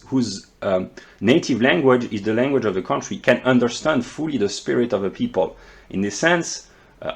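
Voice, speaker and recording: A man from France with a French accent, speaking English as a second language in an unscripted philosophical monologue, often stammering and repeating words as he searches for terms. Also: medium pitch. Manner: measured, explanatory, professorial. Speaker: philosophy commentator.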